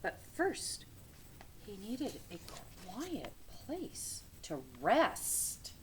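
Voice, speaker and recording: A woman reading a children's picture book aloud with dramatic, deliberate phrasing, speaking to young listeners. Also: medium pitch. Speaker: read-aloud storyteller. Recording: steady background noise.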